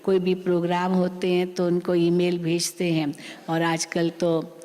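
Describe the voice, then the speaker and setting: A woman spiritual teacher giving a sermon, explaining a scripture reading slowly and repeating her points. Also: fairly low pitch, monotone, warm tone. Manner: slow, repetitive, explanatory. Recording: long hall reverb.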